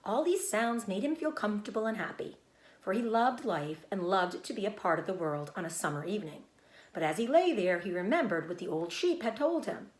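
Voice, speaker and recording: Expressive woman reading a storybook. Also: medium pitch, a little fast, echoey room, low-quality recording. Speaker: teacher reading aloud. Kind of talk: scripted storybook narration.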